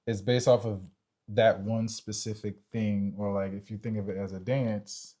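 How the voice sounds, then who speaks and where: A man's voice: on the low side, steady tone, moderate pace, mellow. A man co-hosting a relationship podcast, explaining casually and informally, often checking that the listener follows. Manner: informal, checks in with "right?" and "you know?".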